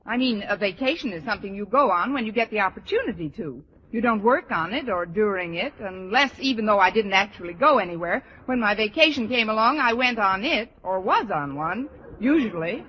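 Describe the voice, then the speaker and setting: A woman playing a wry, sharp-tongued English teacher with an American accent in an old-time radio sitcom. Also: medium pitch, animated, a little fast, close to an everyday voice. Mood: flustered, evasive. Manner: dry, sardonic. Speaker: lead actress. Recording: muffled noisy radio recording, studio audience.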